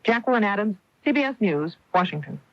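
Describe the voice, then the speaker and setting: A woman's voice: medium pitch, animated, a little fast, no echo. An American woman delivering a scripted news report as a radio correspondent, on an old broadcast recording. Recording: dull lo-fi 1980s radio broadcast.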